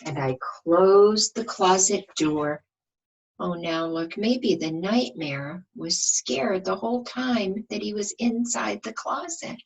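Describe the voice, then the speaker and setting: Lady reading a kids picture book, slow and dramatic, husky voice, keeps asking the kids questions. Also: mid-range voice, rough recording. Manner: asks the listeners questions, dramatic emphasis. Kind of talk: expressive read-aloud for young children.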